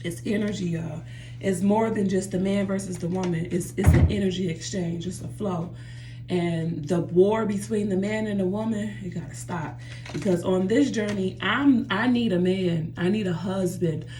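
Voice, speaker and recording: A woman's voice: mid-range voice, fairly even intonation, moderate pace, echoey room, noisy background. A woman talking earnestly to her viewers in a personal vlog from her bathroom, often repeating herself and calling them "y'all". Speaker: vlogger. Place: home bathroom by a running jacuzzi.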